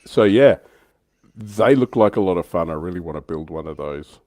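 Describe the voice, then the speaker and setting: A man's voice: sits low, expressive, normal speed, mellow, no echo. A man talking casually as a panellist on a hobby livestream. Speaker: livestream panellist on a tabletop wargaming show.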